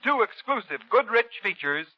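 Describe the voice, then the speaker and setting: A man's voice: high-pitched, big pitch swings, normal speed, lo-fi sound. A man announcing an advertisement in 1930s radio style, emphatic and salesmanlike. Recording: band-limited 1930s radio broadcast.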